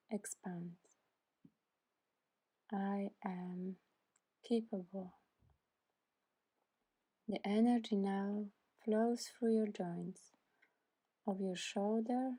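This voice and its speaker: A woman guiding a scripted meditation in a soft, breathy, soothing voice, deliberately leaving long pauses between short phrases. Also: mid-range voice. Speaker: meditation guide.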